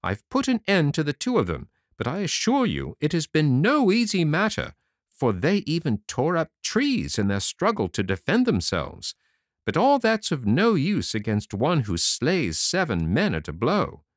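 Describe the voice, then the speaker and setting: A boastful little tailor with a raised, lively voice, swinging up and down as he brags, put on by a man reading a storybook. The recording is dry and close, with no room sound. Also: on the high side, moderate pace, no room sound, voice pushed up. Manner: cocky and bragging.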